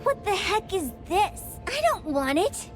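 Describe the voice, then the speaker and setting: A feisty young anime game girl, extremely high-pitched and unhurried. She is blunt and pouty, with music clearly playing behind her. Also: very animated, full character voice. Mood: puzzled, grumpy.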